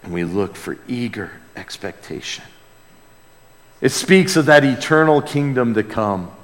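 A man's voice: medium pitch, animated, unhurried. A man preaching a conversational sermon as a pastor, earnest and exclamatory, often repeating phrases for emphasis. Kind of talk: sermon, conversational Bible teaching with call and response. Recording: long hall reverb of a large room.

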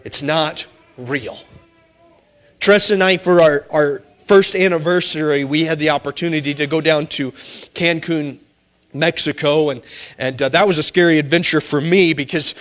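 A man's voice: on the high side, fairly even intonation, normal speed, fairly bright tone. A man preaching a sermon as pastor, pleading earnestly with his congregation and then telling a story with humorous asides.

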